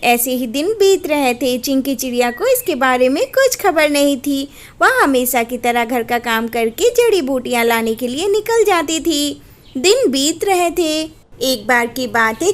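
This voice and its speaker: A woman narrating a children's cartoon story in an even storybook telling. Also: high voice, a little slow.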